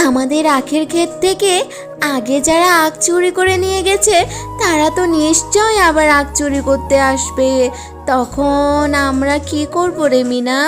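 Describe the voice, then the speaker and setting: A woman acts out a motherly cartoon fox, earnest and affectionate with an anxious streak. Her voice is high, with music underneath. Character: worried, affectionate mother fox who sells sugarcane juice. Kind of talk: cartoon character dialogue.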